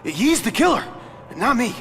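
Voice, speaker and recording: A stammering, frightened witness. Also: male voice, very high voice, sing-song, moderate pace, a bit bright, big-room sound, faint music behind, full character voice. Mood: scared. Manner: stammering, pleading. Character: frightened male civilian witness, pleading.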